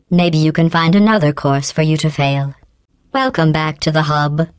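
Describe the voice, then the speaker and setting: Brief: cold sarcastic female AI, low-ish synthetic game voice, smooth and dry, deadpan mockery. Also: no room sound. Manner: deadpan mocking, clipped and measured. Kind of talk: video game voice clips.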